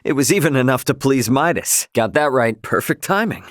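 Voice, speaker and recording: A man voicing a brash, loyal young male captain in a video game, talking in an informal, earnest, expressive way. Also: mid-range voice, moderate pace, no room sound, clean studio sound, natural voice. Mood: casual, reassuring.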